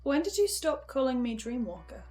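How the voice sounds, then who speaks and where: Woman as a high-voiced, hesitant young seer. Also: sing-song, moderate pace, a little mellow, slight background noise. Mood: hesitant, sincere. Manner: hesitant, earnest. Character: wary, earnest, hesitant seer caught among schemers. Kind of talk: dramatic roleplay acting.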